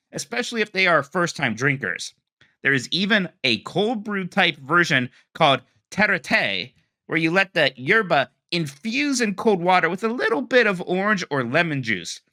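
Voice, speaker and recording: A man's voice: sits high, no room sound, clean studio sound. A man co-hosting a casual podcast who reads listener emails aloud and pokes fun at his own stumbles. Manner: animated, self-mocking when stumbling over words. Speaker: podcast co-host.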